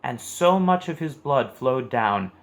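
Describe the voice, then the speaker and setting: A man's voice: mid-range voice, lively intonation, takes it slowly, creaky. Man reading a children's story aloud.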